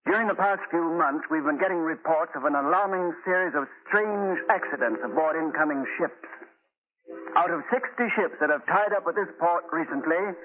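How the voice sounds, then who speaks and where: An actor playing an earnest, grave official giving a formal, emphatic address in 1930s radio style. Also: male voice, on the high side, normal speed, lightly acted. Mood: grave, urgent. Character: earnest, grave government official briefing shipmasters. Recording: narrow, faintly noisy old radio.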